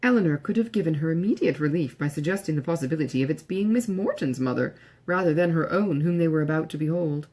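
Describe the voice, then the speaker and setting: Female audiobook narrator, lowish husky voice, formal measured storytelling. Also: lively intonation, darkish tone. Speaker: audiobook reader. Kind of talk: scripted literary narration.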